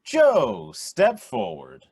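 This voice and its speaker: An actor playing a jovial quiz show host in a radio play, with theatrical announcer delivery. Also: male voice, high voice, wildly swooping pitch, extremely slow, a little mellow, slightly rough, dry recording, clean studio sound, full character voice. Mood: showy, upbeat. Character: young man hosting a quiz show, jovial showman. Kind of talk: radio play acting, game show emcee patter.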